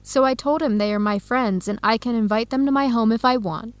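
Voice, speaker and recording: Actress doing friendly young woman in a learning radio play, highish pitch, super dry sound. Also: fairly quick, smooth, no echo, close to an everyday voice. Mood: firm. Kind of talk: scripted radio drama dialogue.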